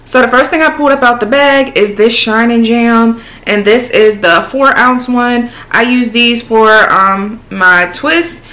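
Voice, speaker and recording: A woman's voice: fairly high pitch, unhurried, hardly any pauses, poor audio. A woman in her twenties, a beauty vlogger chatting casually about products, her voice altered while she recovers from throat surgery. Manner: chatty and animated, calls viewers y'all and girl. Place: small room indoors with product shelves.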